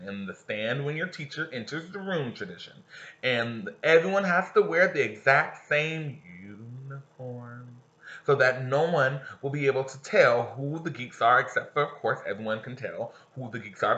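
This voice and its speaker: A man's voice: mid-range voice, bright, forceful tone, lo-fi sound. A man who teaches, reading a novel aloud to his students and stopping to explain passages and ask them questions.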